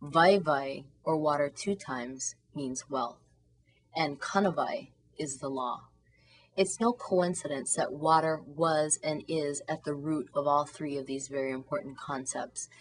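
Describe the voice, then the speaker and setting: A woman from Hawaii, a lawyer, giving measured, explanatory documentary narration. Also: low voice, low-quality recording. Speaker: environmental lawyer.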